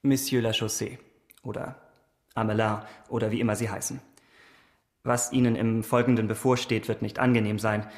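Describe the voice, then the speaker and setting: A man's voice: fairly low pitch, little pitch movement, moderate pace, close to an everyday voice. A man playing a dogged, correct police investigator in a radio play, speaking precisely, formally and with cool insistence. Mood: formal, controlled. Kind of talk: German radio play acting.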